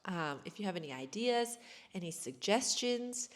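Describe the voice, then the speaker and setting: A woman's voice: medium pitch, animated, moderate pace. An American woman in her thirties chatting casually to camera from her sparsely furnished home, rambling and self-deprecating. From United States. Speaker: vlogger.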